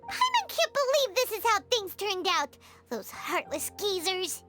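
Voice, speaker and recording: A little fairy companion from a video game, squeaky and childlike. The voice is extremely high and swoops around expressively, at an unhurried pace. The character is loyal, blunt and excitable. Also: female voice, faint music behind, full character voice. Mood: dejected. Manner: refers to herself in third person, expressive.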